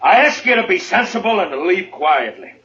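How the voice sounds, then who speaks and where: Male, American frontier lawman, grim and authoritative, slightly high voice, noisy vintage radio western sound. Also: big pitch swings, a little fast, pushed and bright, lo-fi sound, heavily acted. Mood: stern warning. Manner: terse, authoritative. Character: stern, weary frontier US marshal, grimly resolute. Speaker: lead actor in a radio western. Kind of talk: 1950s American radio drama acting.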